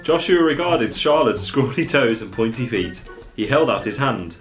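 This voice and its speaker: A man's voice: mid-range voice, lively intonation, normal speed, over music. A man reading stories dramatically and bantering in a playful, amused way, often laughing. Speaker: vlogger, co-reader with his sister.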